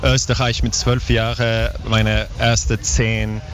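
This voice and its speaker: A Swedish man in his forties, a touring band's singer, chatting in halting second-language German with a Swedish accent and playful jokes at an outdoor festival. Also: fairly low pitch, level tone. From Sweden. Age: 40s. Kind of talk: casual interview chat.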